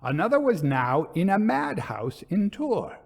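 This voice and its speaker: Guy narrating an old-fashioned ghost story, measured and dramatic, pitch moves around a lot. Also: sing-song, gravelly, husky. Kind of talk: expressive scripted narration of a literary ghost story.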